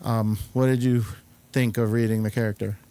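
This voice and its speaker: A man hosting a casual interview, speaking in a halting, hesitant way with many pauses. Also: lowish voice, flat delivery, mellow, smooth. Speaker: show host and interviewer.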